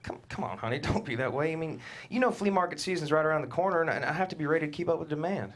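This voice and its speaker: A man's voice: a little high, expressive, very fast, natural voice. An actor playing a meek middle-aged father at a table read, stammering and sheepish, prone to sudden outbursts. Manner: stammering, sheepish, bursts out angry. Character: meek middle-aged dad, hobby crafter, Bert.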